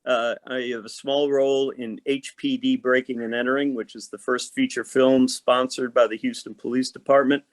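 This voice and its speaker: A man's voice: mid-range voice, little pitch movement, normal speed, hardly any pauses, no room sound. A man who works as an actor, talking casually and at length as a podcast guest, explaining things in an orderly way. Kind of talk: casual conversation about work.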